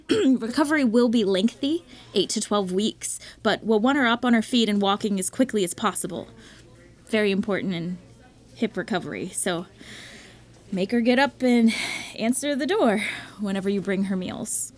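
A woman's voice: mid-range voice, moderate pace, close to an everyday voice. A woman acting as a kind, slightly awkward, practical hospital staffer in an audio drama, reassuring and prone to stammering. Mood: careful, explaining.

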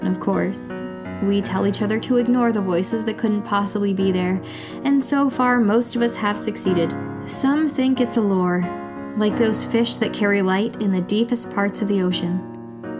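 An actress playing a wry, dramatic broadcaster on a remote watchtower in an audio drama, with a wordy, theatrical delivery. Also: female voice, mid-range voice, lightly acted. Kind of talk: audio drama acting with storyteller monologues.

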